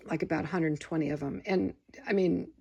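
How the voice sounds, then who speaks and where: A tired woman with a gravelly voice, rambling casually about her record haul in a home vlog. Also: deep voice, fairly quick, a little mellow, dull audio. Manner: rambling, many fillers and restarts. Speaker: vinyl record vlogger. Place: home room with window open. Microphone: phone camera.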